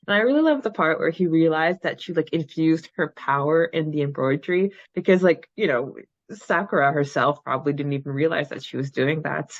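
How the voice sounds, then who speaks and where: A woman's voice: sits low, fairly quick, dry recording, muffled recording. A woman co-hosting a podcast in casual, chatty talk, prone to giggling. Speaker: podcast co-host. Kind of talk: casual chat about a fan fiction.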